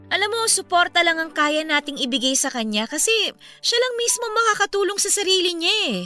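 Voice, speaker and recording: Lively, high-voiced young Filipino friend. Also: female voice, fairly quick, exaggerated voice. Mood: exasperated, concerned. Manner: expressive, conversational, emphatic. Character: chatty young woman, candid, sympathetic college friend confiding. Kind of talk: Tagalog radio drama dialogue. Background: music under the voice.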